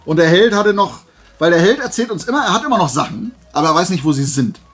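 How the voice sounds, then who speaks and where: A man's voice: high voice, animated, fast talker, fairly bright tone, slightly rough. A man chatting casually and playfully to camera as a vlogger, rambling through asides. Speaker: YouTuber showing flea market finds.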